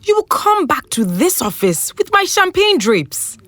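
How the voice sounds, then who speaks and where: An imperious Nigerian woman boss in an audio drama. She speaks slowly and deliberately, with big swings in pitch. There is clear noise behind her. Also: very animated, a bit bright, full character voice. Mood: irritated, threatening. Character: demanding, short-tempered woman boss, desperate in love. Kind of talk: modern audio drama acting.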